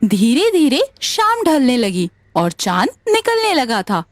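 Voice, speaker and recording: High-pitched, expressive woman narrator. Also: takes it slowly, no room sound. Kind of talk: scripted story narration.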